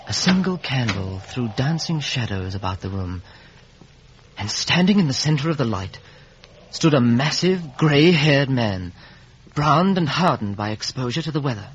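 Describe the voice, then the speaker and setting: An actor playing an uneasy, proud young gentleman, formal and dramatic in a 1950s radio drama. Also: medium pitch, lively intonation, normal speed, husky. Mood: brooding narration. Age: young adult. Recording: dull vintage radio recording.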